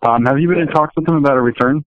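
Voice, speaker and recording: A man's voice: a little high, very fast, no echo. A man asking questions as a reporter on a press conference call, heard over a telephone. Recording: narrow, tinny phone line.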